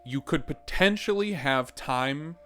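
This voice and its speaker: A man narrating as game master on a tabletop podcast, describing scenes in a halting, conversational way and calling for rolls. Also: a little high, expressive, fairly bright tone, faint music behind. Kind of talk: improvised game narration and rules talk.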